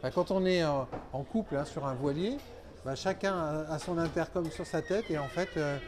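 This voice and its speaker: A man's voice: fairly high pitch, normal speed, a crowd in the background. A man hosting a product interview, speaking in an enthusiastic, exclamatory way with short interjections. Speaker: interviewer, show host.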